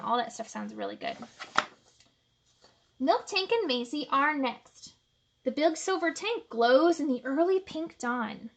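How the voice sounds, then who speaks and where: A woman hosting a children's storytime, reading aloud expressively with chatty, encouraging asides. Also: high voice. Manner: expressive, encouraging, asks the listeners questions. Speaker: storytime host reading picture books to children.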